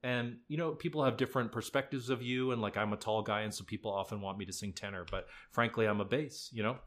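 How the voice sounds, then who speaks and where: A man's voice: fairly low pitch, fast, fairly bright tone. A young adult man, a musical theatre actor, telling audition stories in a laid-back, self-deprecating, chatty way. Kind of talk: casual storytelling interview.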